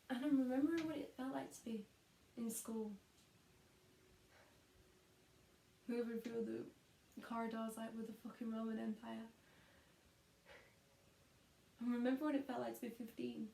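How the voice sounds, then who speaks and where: A woman's voice: a little high, creaky, warm tone, a little hiss or hum. A woman playing a weary, disillusioned young schoolteacher in an introspective, confiding stage monologue. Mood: wistful. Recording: echoey room.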